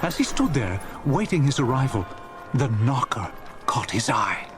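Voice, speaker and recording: A man narrating a Victorian Christmas tale as a genial, expressive, theatrical audiobook storyteller. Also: medium pitch, normal speed, over music, lightly acted. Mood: amused.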